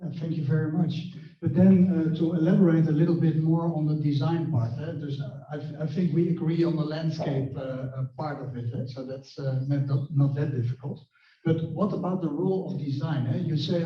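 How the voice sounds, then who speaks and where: A man's voice: a little high, fairly even intonation, moderate pace, far from the mic. Hesitant man, off-mic and echoey. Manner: hesitant, many fillers. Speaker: moderator. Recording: dull roomy sound from off-mic.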